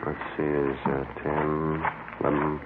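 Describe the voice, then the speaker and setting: A very deep-voiced American detective talks in terse, deadpan, matter-of-fact phrases. It is 1950s radio crime drama, on a thin, noisy old recording. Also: male voice, measured pace, phone-quality sound. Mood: businesslike. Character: terse, businesslike male police detective sergeant.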